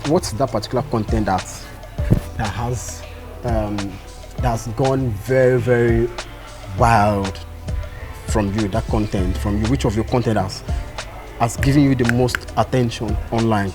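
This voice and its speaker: A man's voice: medium pitch, measured pace, over music. Chatty man interviewing. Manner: relaxed, chatty, mixes English and Yoruba. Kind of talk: casual interview.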